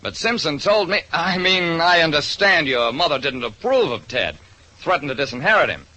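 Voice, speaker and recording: A man's voice: fairly high pitch, big pitch swings, moderate pace, sharp tone, heavily acted. An actor playing a wry private detective who narrates his case in 1940s radio drama style. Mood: dramatic narration. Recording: noisy vintage radio recording.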